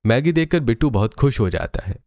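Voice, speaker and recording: A man's voice: mid-range voice, expressive, brisk, highs cut off. A man reading scripted storybook narration for a cartoon.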